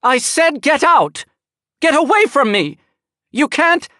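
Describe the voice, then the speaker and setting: A man voicing a frightened, indignant woman who shouts and pleads in a shrill voice, read for an audiobook. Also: sing-song, a little slow, no echo, studio quality. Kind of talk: audiobook character voice.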